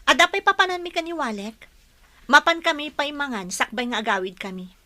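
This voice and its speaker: A woman's voice: sits high, animated, a little fast, bright, forceful tone. An actress playing an indignant young working woman, a dutiful daughter, in a radio drama. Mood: firm, annoyed. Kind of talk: Ilocano radio drama acting.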